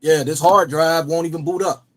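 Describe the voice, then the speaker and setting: A man in his late forties with a high-pitched voice. He talks in a loose, rambling way and keeps circling back to repeat himself. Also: steady tone, normal speed. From Cincinnati area. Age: 40s. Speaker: rapper and host. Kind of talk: casual chat.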